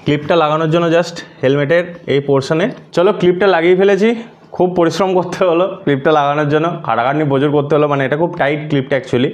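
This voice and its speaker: Fast-talking man giving a casual tutorial. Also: a little high. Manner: explanatory, mixes English words into speech. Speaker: host of a motorcycle gear tutorial. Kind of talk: casual step-by-step tutorial narration.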